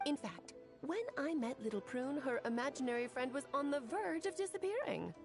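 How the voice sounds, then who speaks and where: A grand, playful witch mentor with a fairly high, very expressive voice. She teases gently and sounds fond of her listeners. Soft game music plays under her. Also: normal speed. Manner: teasing, grand and affectionate, with light laughs. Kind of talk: video game voice acting. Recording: faint game music behind.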